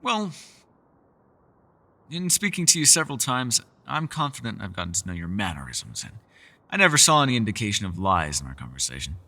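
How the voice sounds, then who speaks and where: Low-voiced, mysterious elf man, acted by a man. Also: big pitch swings, bright, forceful tone, exaggerated voice. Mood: confident, teasing. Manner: measured, formal, old-fashioned phrasing. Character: mysterious, secretive, powerful male elf, teasing yet world-weary. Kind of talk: fantasy ASMR roleplay acting.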